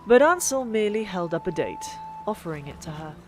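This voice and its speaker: A woman narrating a fantasy audiobook in an expressive, deliberate storytelling manner. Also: lowish voice. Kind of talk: dramatic scripted fiction narration. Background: background music.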